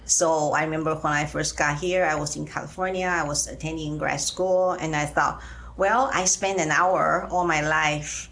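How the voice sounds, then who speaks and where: A middle-aged woman from Taiwan, speaking as a guest in an interview, with frequent uh and um pauses. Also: deep voice, fairly even intonation, gravelly, a bit bright, rough recording. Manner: hesitant, many uh and um pauses. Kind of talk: faith-sharing interview.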